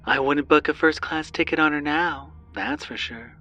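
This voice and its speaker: A man's voice: on the high side, moderate pace, creaky, pushed and bright, muffled recording, faint music behind, pitched above the performer's own voice. A man voicing a joking young woman diver with a wry tone.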